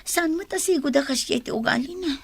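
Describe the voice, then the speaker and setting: A woman's voice: high voice, brisk, vocal fry, dry recording. An actress with an Ilocano Filipino accent playing a meek, lovesick young woman who pleads with her boyfriend in a radio drama. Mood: troubled, confiding.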